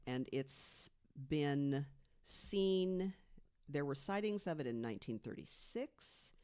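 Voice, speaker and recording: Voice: mid-range voice, lively intonation, takes it slowly, mellow, clean tone. An American podcast co-host telling a researched story in a conversational way, partly from prepared notes.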